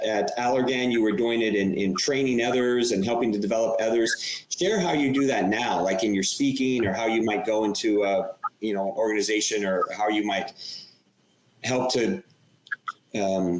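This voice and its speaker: A male podcast host with a lowish voice, asking long questions that he circles back and restarts. The sound is roomy and echoey, with a faint noise underneath. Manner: rambling, restarts questions, many fillers. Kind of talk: interview questions, conversational.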